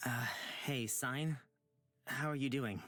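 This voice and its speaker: Visual novel lead, a mild-mannered young man. Medium pitch, tentative and courteous delivery. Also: takes it slowly, fairly bright tone, faint music behind. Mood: hesitant concern. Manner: hesitant, polite. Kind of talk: visual novel game voice acting.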